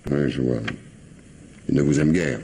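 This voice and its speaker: An actor playing a polite but insistent police commissioner in a radio mystery, questioning deliberately with hesitations and repeated words. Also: male voice, rumbling low, dark tone, a little hiss or hum. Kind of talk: French radio mystery drama acting.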